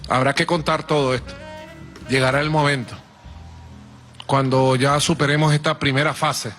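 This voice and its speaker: A man's voice: medium pitch, level tone, a bit bright, soft background music. A man giving a political speech as a leader, repeating key words for emphasis. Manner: emphatic, deliberate repetition.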